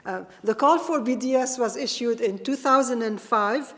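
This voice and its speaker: A middle-aged woman giving a keynote lecture, speaking earnestly and with emphasis, often pausing with fillers and restarting her sentences. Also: on the high side, fairly bright tone. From Palestinian, born in Iraq, raised in Lebanon. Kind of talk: public lecture. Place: large lecture hall. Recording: echoey hall, long reverb.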